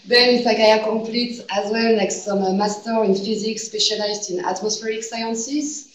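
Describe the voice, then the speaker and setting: A woman's voice: on the high side, fairly even intonation, normal speed, slight background noise. A woman in her thirties with a French accent, giving an academic seminar talk and leaning on "like" as a filler. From France. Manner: non-native phrasing with frequent "like" fillers. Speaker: guest researcher presenting a seminar. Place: seminar room. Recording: echoey room, distant mic.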